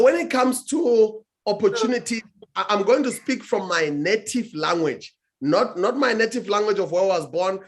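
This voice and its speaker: A man, a guest speaker on a talk show, giving emphatic advice with frequent restarts and searching for words. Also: high voice. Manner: emphatic, with many restarts and "what do you call it". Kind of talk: motivational talk-show answer.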